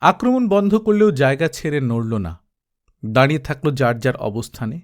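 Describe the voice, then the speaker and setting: Guy reading a war adventure story out loud, steady and dramatic, mid-pitched voice. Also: brisk. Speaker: audiobook narrator.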